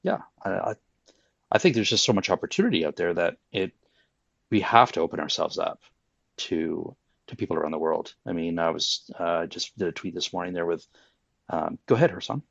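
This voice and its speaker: A man's voice: low-pitched, brisk, vocal fry, no room sound. A man talking informally and a little hesitantly as host of a casual online group discussion. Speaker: host of a crypto audio discussion. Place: live online audio room, close and dry. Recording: slightly dull online audio, dry.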